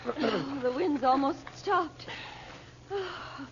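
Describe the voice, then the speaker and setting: A genteel, fretful mother in a radio adventure, sighing and plaintive in a high voice. The vintage recording is muffled under loud noise. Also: expressive, drawn-out words, full character voice. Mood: worried. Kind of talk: old-time radio adventure serial acting.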